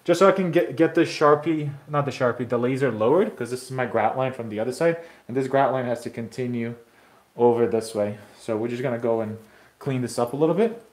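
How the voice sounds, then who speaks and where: A man's voice: medium pitch, fairly quick. A man in his thirties chatting loosely as he works, full of fillers and restarts. He sounds like he's explaining on the fly, talking to viewers from a renovation site through a phone camera. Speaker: tile installer streaming his work. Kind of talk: casual live-stream how-to chat. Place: bathroom renovation site and snowy driveway.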